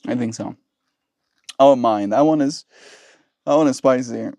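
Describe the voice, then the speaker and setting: A man's voice: medium pitch, measured pace, creaky, darkish tone, dry recording. A man in his twenties, a native Afrikaans speaker from southern Africa, chatting casually with his stream audience in a playful, rambling way. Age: 20s. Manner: playful, rambling, laughs often. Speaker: livestreamer.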